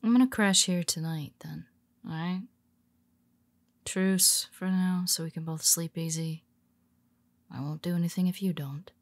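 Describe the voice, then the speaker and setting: Tired superhero woman, voiced by a woman, wry and teasing, unhurried delivery, dry close sound. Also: lowish voice, dry recording. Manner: teasing, soft-spoken, slips into whispers. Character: weary teasing female superhero, nemesis. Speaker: audio roleplay performer. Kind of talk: intimate audio roleplay.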